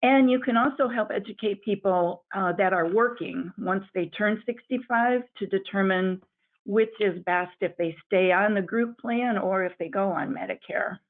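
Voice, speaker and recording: A woman's voice: mid-range voice, normal speed. A woman interviewer who sums up her guest's points in leading questions. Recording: tinny phone-like line.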